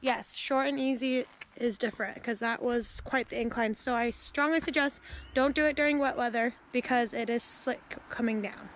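A young woman with a high-pitched voice chats casually to her handheld camera. She is outdoors, with slight background noise around her as she presents a travel vlog. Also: steady tone, normal speed. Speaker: travel vlogger. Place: outdoors by roads, woods and parking lots. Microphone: handheld action camera at arm's length.

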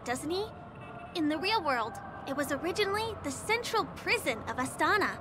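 A woman voicing a cheerful, gentle young woman who eagerly guides visitors in a video game. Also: extremely high pitch, background noise. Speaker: tour guide character. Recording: game audio with background music. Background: game music.

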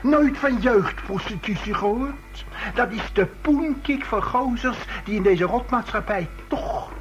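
An actress playing a brusque, defiant boutique boss in a radio play, curt and scornful. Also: female voice, a little high, animated, measured pace. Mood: indignant. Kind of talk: radio drama acting. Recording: muffled old radio recording with music behind.

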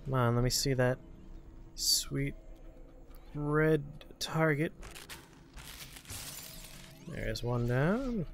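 A man's voice: medium pitch, animated, drawn-out words, long pauses. A man doing casual let's play commentary as host, muttering to himself with self-deprecating swearing. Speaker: gameplay show host. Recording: clear background game noise. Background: video game sound effects.

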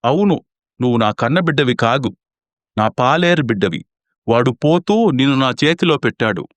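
A man's voice: mid-range voice, expressive, moderate pace, no echo, close to an everyday voice. A stern old patriarch played by a man in a family story. He speaks bluntly and with command in a mid-range voice, recorded very dry. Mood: stern, reproachful. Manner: commanding, reproachful.

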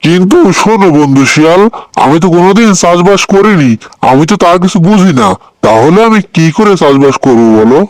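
A man's voice: sits high, lively intonation, a little fast, no echo. A man voicing a gullible, simple-hearted crocodile in a folk-tale cartoon, speaking plainly and earnestly. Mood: naive, earnest. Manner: plain-spoken, naive, earnest. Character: gullible simple-hearted male crocodile, aggrieved at being cheated.

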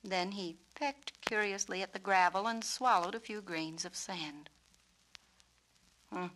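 A woman narrating a children's storybook on a 1970s record, with expressive, deliberate phrasing. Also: medium pitch, slight background noise, rough recording. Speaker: author and narrator.

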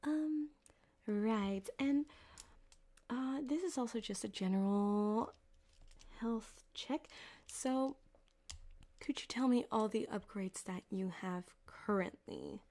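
Yandere ripperdoc woman performer, ASMR roleplay: slow, soft, half-whispered, coy and quietly sadistic, close binaural mic. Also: fairly high pitch. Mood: coy, clinical. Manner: soft-spoken, often dropping to a whisper, halting and coy. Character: flirtatious, sadistic yandere female cyberpunk ripperdoc, sweetly menacing. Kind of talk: ASMR roleplay addressed to the listener. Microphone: close binaural microphone.

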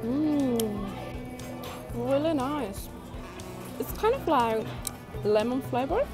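A woman's voice: high voice, lively intonation, drawn-out words, over music. A young woman chatting enthusiastically to camera as she reviews food at an outdoor waterfront restaurant, speaking into an earphone mic. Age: young adult. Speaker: food vlogger. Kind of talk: casual vlog chat to camera. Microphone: wired earphone mic.

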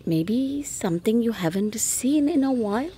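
A woman narrating a scripted guided meditation softly and gently, often close to a whisper, with long unhurried pauses. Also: fairly high pitch, expressive, slight background noise. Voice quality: soft, breathy, often near whisper.

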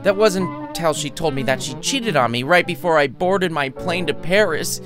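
A man's voice: on the high side, normal speed, music in the background, natural voice. A man voicing a heartbroken, self-pitying young male art student in a story, grumbling with melodrama and sardonic bite.

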